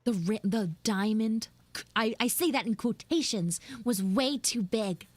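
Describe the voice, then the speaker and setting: A woman voicing a sassy, flustered high school tsundere frog girl in a casual, blunt, rambling live audio roleplay. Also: a little slow, dry recording. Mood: scornful. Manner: blunt, snarky, swearing, rambling asides.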